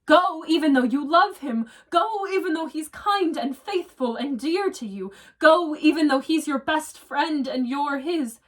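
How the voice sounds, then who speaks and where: Girl acting a regretful divorced woman monologue, earnest, really slow, mic kinda far away. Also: high voice, animated. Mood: insistent. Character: confessional young divorced woman, torn and regretful. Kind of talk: dramatic stage monologue. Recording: voice distant from the mic.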